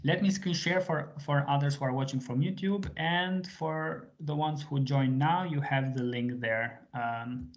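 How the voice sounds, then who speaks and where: A man's voice: a little high, a little slow, few pauses. A man teaching a live online language lesson to a small group, patient and full of small fillers as he switches between English and Albanian. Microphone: video call. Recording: slightly muffled video call audio.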